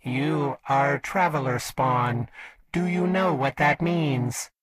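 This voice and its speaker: An actor playing a courteous robot servant of an exiled emperor in a video game, polite, formal and servile. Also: male voice, on the high side, slow pace, breathy edge. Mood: formal, devout. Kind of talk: scripted game character lines.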